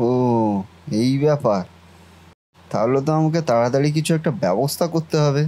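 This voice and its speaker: A man voicing a trusting, devoted young brother and gullible nephew in a cartoon family drama, earnest and expressive. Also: mid-range voice, moderate pace, natural voice. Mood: shocked, suspicious. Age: young adult.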